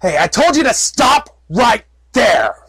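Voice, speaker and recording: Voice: mid-range voice, very animated, moderate pace, breathy edge, no echo. A reader doing a barking guard pony. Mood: commanding. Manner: barking, commanding. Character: stern male guard pony with a rifle.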